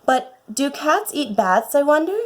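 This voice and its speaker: Woman as dreamy British little girl, lightly raised pitch. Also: sing-song, measured pace. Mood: wistful. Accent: put-on British. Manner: musing, talking to herself. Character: curious, dreamy, polite little girl Alice in storybook.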